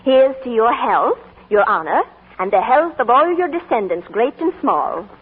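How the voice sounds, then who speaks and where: A woman's voice: a little high, sing-song, moderate pace, husky. An actress playing a spirited young woman with a lilting, playful manner in a 1940s radio drama. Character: spirited young Irish woman, romantic and warm. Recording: tinny 1940s radio broadcast with music.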